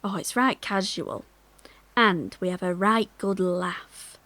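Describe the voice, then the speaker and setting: An actress playing a cheerful, gossipy young working-class millworker from Yorkshire, chatting confidingly in a monologue. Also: female voice, medium pitch, takes it slowly, no room sound. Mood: chatty, cheerful. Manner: colloquial, confiding, animated. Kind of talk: dramatic monologue, chatty and conversational.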